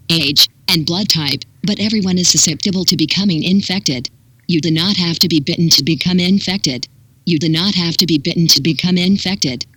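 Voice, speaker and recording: A synthetic text-to-speech voice reading a scripted public warning in an impersonal, measured manner with clipped instructions. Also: mid-range voice, sharp tone, husky, no echo. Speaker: emergency broadcast announcer.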